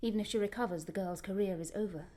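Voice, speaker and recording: A woman's voice: medium pitch, very fast, mellow, natural voice. A woman playing a grieving Victorian actress, the lover of a murdered star, in a radio drama, speaking intensely and often sinking to a whisper.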